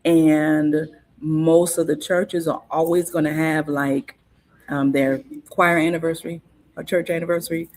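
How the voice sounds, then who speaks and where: A woman, a guest in a casual interview, explaining things in an unhurried, informative way with frequent fillers and restarts. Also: deep voice, little pitch movement, darkish tone. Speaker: guest on cultural topics.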